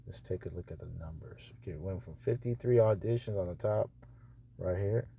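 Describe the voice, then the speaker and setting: A man with a low, mellow voice talks casually as he reviews auditions. His delivery is halting and he tends to repeat himself, like someone thinking aloud. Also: gravelly, breathy edge. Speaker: host reviewing voice-over auditions. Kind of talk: casual screen-recording commentary.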